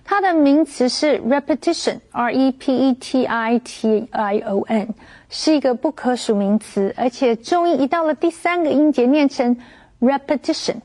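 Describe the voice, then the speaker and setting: A woman teaching vocabulary in a clear, measured, scripted way. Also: high-pitched, darkish tone.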